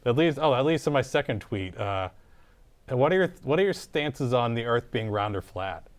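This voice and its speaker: A man hosting an improvised comedy interview in a casual, deadpan way with mumbled asides. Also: mid-range voice, normal speed. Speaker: talk show host.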